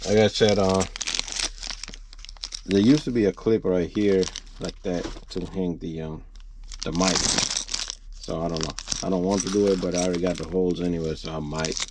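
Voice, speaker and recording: A man giving a casual, unscripted how-to for a vlog, rambling and thinking out loud as he works. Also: low-pitched, little pitch movement, normal speed, background noise. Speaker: vlogger showing a DIY truck installation.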